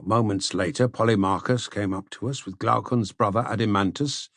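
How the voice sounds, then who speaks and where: A man narrating a literary audiobook in an expressive storytelling manner, with a gravelly, husky voice. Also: lowish voice, moderate pace, no echo, clean studio sound. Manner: expressive, storytelling delivery with shifts for dialogue. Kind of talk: scripted literary narration with character dialogue.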